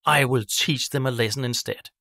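A man's voice: medium pitch, sharp tone, dry recording, clean studio sound, pitched above the performer's own voice. A man voicing a grumpy, spiteful, scheming female witch in a children's storybook, with a theatrical, menacing manner. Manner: theatrical, menacing, drawn-out incantations.

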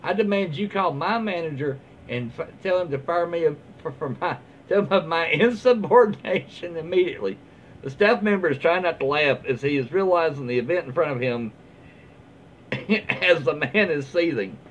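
A middle-aged man casually reading online stories aloud and adding his own comments, in an informal, halting way. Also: a little high, expressive. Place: small room at home, indoors, seated in armchair. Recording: dull lo-fi home recording, faint noise.

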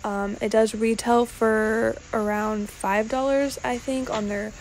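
A young woman narrating a casual shopping haul, chatty and relaxed, with lots of ums and likes. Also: a little high, slow, a little hiss or hum, rough recording. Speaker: vlogger.

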